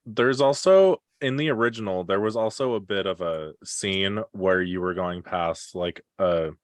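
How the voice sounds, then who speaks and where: Deep-voiced man hosting a podcast. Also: no echo. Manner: leads the list, relaxed and a little halting. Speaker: podcast host. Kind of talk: casual chat.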